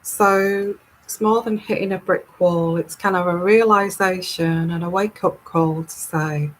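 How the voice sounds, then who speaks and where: A woman with a calming, soothing voice, hosting a live tarot stream and chatting casually as she reads viewer comments. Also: lowish voice, low-quality recording. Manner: unhurried, chatty and rambling.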